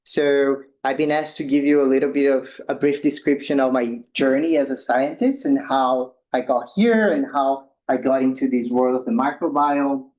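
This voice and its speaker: A young man from Brazil with a Brazilian Portuguese accent, giving a relaxed science talk as a postdoctoral researcher, with ums and slightly loose non-native phrasing. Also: mid-range voice, normal speed, hardly any pauses, low-quality recording. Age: young adult. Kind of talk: informal science presentation.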